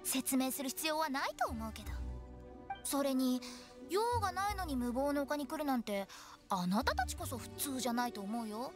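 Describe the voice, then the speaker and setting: A high-pitched, cheeky young woman swoops through teasing, theatrical lines like a mischievous undertaker selling her services. It is anime game dialogue, with music behind her. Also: animated, normal speed. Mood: playful, theatrical. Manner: teasing, theatrical, sing-song salesmanship. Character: playful, cheeky young woman funeral parlor director. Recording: clean studio voice over game music.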